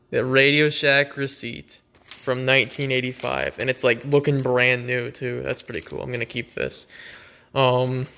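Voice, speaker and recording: Bright-voiced man, casual and rambling. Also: medium pitch, fairly even intonation, unhurried, vocal fry, pauses often, clear, resonant tone. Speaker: vlogger. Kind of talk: casual unscripted unboxing commentary.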